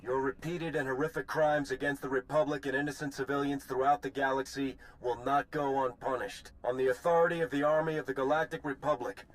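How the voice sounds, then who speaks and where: A man's voice: mid-range voice, natural voice, low-quality recording. A man playing a blunt, determined and commanding soldier hero in a video game cutscene. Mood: defiant, commanding.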